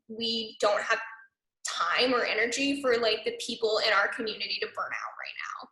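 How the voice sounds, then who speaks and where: A young woman speaking in a loose, conversational way, filling her sentences with "like." Her voice is slightly high and very bright. It sits in a roomy, echoing space. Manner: chatty, many "like" fillers. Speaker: arts organization program director. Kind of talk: casual online talk.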